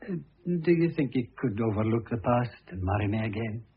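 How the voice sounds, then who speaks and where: Radio play: middle-aged husband, pompous complainer pitying himself, husky, muffled lo-fi sound. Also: male voice, medium pitch, animated, a little fast. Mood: complacent. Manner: pompous, complaining, long-suffering. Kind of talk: radio drama acting. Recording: muffled lo-fi old radio recording.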